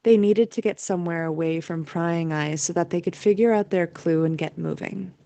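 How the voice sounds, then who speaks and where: A woman narrates an audio play in a mellow, somewhat low voice. Her scripted storytelling is recorded very dry and close. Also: normal speed, dry recording.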